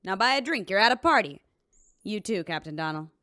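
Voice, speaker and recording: A woman's voice: medium pitch, lively intonation, fairly quick, sharp tone, no echo, studio quality. A woman playing a brusque, matter-of-fact bar owner in an audio drama. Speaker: bartender.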